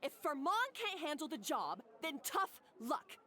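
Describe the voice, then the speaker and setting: A woman's voice: high voice, very animated, measured pace, bright, forceful tone, heavily acted. A woman playing a haughty, domineering head chef in a video game, scornful and imperious. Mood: smug, dismissive. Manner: imperious, scornful, barking orders. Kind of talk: English video game voice acting.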